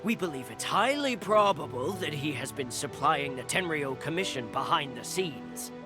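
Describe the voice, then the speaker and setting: An actor voicing an earnest male soldier who forcefully accuses a merchant. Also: on the high side, animated, normal speed, over music, lightly acted. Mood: accusing. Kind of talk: video game voice acting.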